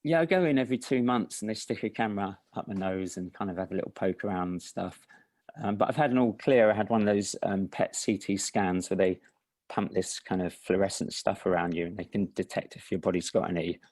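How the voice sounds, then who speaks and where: A man with an Estuary English accent, talking as an indie filmmaker guest in a relaxed, self-deprecating interview chat. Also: deep voice, fairly quick, no echo. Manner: relaxed, self-deprecating, with frequent restarts and laughs.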